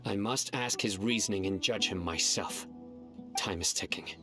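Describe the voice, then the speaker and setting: A solemn young judge speaks in short, measured sentences in a very low voice, as a man voices him. The lines sit in game audio under loud background music. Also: lightly acted. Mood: grave. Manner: grave, measured, terse. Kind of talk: game voice acting.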